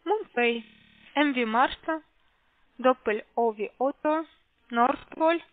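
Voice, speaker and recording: A woman call-centre caller with a foreign accent in non-native speech, giving halting, insistent instructions and repeating her questions over a muffled phone line. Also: high-pitched, dry recording. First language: not German. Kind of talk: scripted phone sales instructions.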